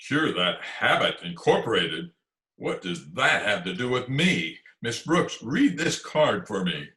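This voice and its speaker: A man's voice: fairly low pitch, sing-song, a little slow, sharp tone, exaggerated voice. A man playing a pompous, easily angered middle-aged school principal in old-time radio comedy style, with theatrical, elaborate phrasing. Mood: puzzled. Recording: remote home recording over video call.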